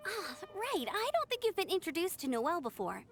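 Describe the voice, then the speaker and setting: A woman's voice: extremely high pitch, sing-song, fairly quick, over music, full character voice. A woman voicing a friendly, upbeat young female knight in a video game. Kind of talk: English video game voice acting.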